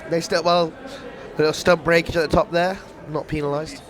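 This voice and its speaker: A man's voice: a little high, little pitch movement, fairly quick. An English man giving casual, joking commentary at a youth indoor cricket match. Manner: chatty, rambling, joking. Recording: loud crowd behind.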